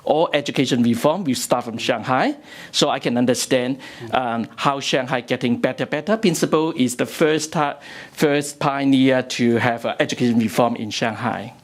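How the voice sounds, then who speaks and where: A man from Hong Kong, a native Cantonese speaker, interpreting into English in a lecture room, with halting phrasing and many fillers. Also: medium pitch. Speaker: interpreter and academic.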